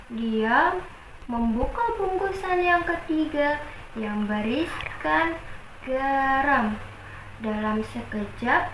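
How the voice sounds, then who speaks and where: A young woman student tells a folk tale with dramatic emphasis and drawn-out exclamations of wonder. Her high voice moves slowly and swings widely. The room is echoey, with faint noise behind. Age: young adult. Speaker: student storyteller. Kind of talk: animated folk tale storytelling.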